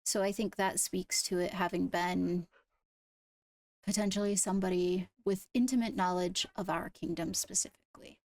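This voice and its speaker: A woman speaking in a measured, deliberate way with formal phrasing during an improvised roleplay discussion. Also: fairly low pitch, steady tone, darkish tone. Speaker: roleplay streamer playing a kingdom member.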